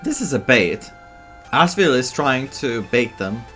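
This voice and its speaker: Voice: mid-range voice, lively intonation, a little slow, a bit bright, faint music behind. A streamer giving casual, off-the-cuff commentary while playing through a game.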